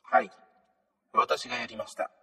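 A man playing a polite, calm AI smart speaker in a radio drama, speaking in formal, mechanical announcements with robotic processing. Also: normal speed, recorded from a distance. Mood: polite, contrite.

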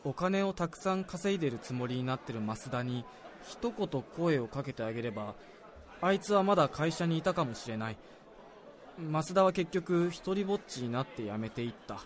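Casting: male actor as junior salaryman, higher-pitched young voice, apologetic and sincere, confiding in a senior, radio drama. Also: animated, normal speed, lightly acted. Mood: regretful. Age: young adult. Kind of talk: Japanese radio drama dialogue.

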